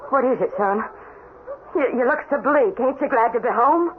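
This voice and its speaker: A woman's voice: on the high side, moderate pace, narrow, tinny audio, close to an everyday voice. An actress playing a careworn, widowed Texas farm mother in a radio drama, emotional and pleading. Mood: worried pleading. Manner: emotional, pleading, folksy rural speech. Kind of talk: old-time radio drama acting. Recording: thin, band-limited vintage radio recording, hissy.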